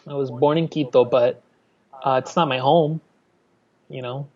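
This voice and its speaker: A man's voice: medium pitch, fairly even intonation, a little slow, darkish tone, muffled recording. A man in his thirties, an artist from Miami, talking candidly in an interview, often restarting his sentences. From Miami, Florida. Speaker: artist, guest. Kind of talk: conversational interview.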